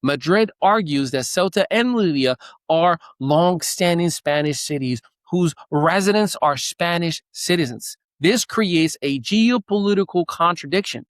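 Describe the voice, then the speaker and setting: Moroccan-born guy doing news commentary, kinda high voice, dead dry sound, earnest and opinionated. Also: no room sound. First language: Moroccan Arabic. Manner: earnest, opinionated, with some restarts and fillers. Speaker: news show host.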